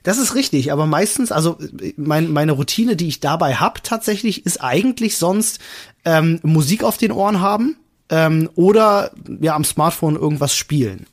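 A man's voice: on the high side, lively intonation, moderate pace. A man hosting a podcast in casual conversation, explaining things in a rambling, wordy way. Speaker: podcast host.

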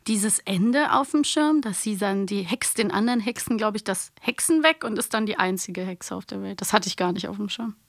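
A woman's voice: mid-range voice, normal speed, gravelly, hardly any pauses, dry recording, clean studio sound. A woman co-hosting a podcast in casual conversation. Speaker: podcast co-host. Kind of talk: casual chat about audio plays.

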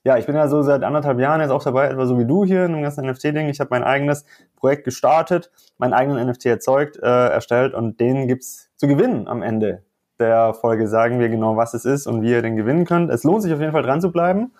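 A man's voice: mid-range voice, fast, darkish tone. A man with a slightly stuffy nose, a podcast guest explaining things with enthusiasm and emphatic repeats. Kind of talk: casual podcast chat.

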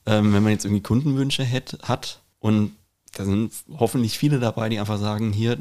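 A man co-hosting a casual interview podcast, phrasing questions loosely and haltingly, with repeated words. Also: fairly low pitch, fairly even intonation, mellow. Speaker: podcast host. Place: kitchen.

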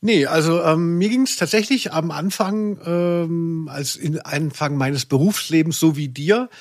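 Man, podcast co-host, fairly high pitch, dry close sound, casual, chatty, wry. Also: a little slow, dry recording. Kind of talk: casual conversational podcast hosting.

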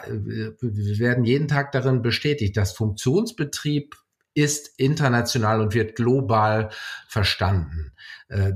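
A middle-aged man answering as a company executive in a podcast interview, in long, winding sentences with hesitations and English business terms. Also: medium pitch. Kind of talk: interview answer, explanatory talk.

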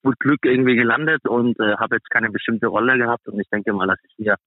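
A man giving casual, halting interview answers as a professional athlete guest. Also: mid-range voice, steady tone, dry recording. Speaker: guest, professional ice hockey player. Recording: thin phone-like band-limited sound.

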